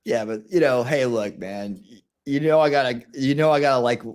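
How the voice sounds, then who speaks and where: A man's voice: mid-range voice, fairly quick, darkish tone. Laid-back man. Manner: laid-back, heavy fillers, swears casually. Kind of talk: casual chat.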